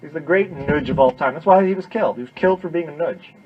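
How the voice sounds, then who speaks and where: Higher-pitched lecturer, dull classroom recording. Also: male voice, fast talker. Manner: emphatic, repetitive, checking in with "right?". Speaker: university lecturer.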